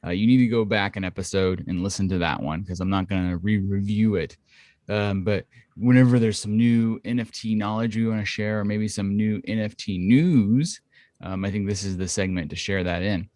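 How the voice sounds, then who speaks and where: A man chatting on a podcast, rambling through long explanations full of "um" and "like". His voice sits a little low and is recorded close and dry, with no room sound. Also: moderate pace, darkish tone, dry recording. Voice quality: thinks his voice is high-pitched. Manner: rambling, filler-heavy, explains at length. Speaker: podcast co-host. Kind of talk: casual podcast chat. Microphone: studio condenser mic at home.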